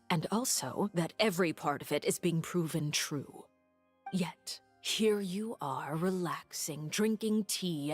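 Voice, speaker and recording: An actress playing a poised young woman diplomat in a video game, deliberate and mock-courteous, asking pointed questions with polite menace. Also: mid-range voice, slightly rough. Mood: cold reproach. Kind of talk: dramatic video game voice acting. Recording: faint background music.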